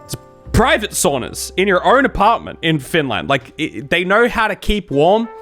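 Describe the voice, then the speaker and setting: A man's voice: high voice, wildly swooping pitch, normal speed, fairly bright tone, faint music behind. A man from Australia with an Australian accent, chatting casually as a streamer in a rambling, jokey way. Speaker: YouTuber and streamer. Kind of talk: casual livestream chat.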